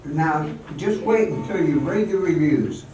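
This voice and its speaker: A man's voice: on the high side, lively intonation, measured pace, warm tone. A man playing a confident, pushy, doting show-business sweetheart in an amateur radio drama, recorded echoey and distant. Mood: boastful. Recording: echoey, distant mic, faint noise.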